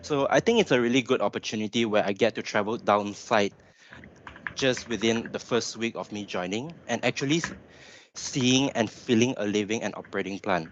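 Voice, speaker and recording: Low-voiced young Malaysian man, muffled audio. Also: slight background noise. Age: 20s. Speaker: graduate engineer presenter. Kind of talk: webinar presentation.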